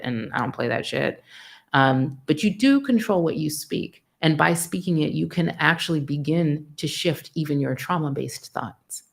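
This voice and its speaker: A middle-aged American woman giving a live spiritual sermon, frank and profane, acting out dialogue in an animated way. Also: low-pitched, vocal fry. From United States. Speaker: spiritual teacher.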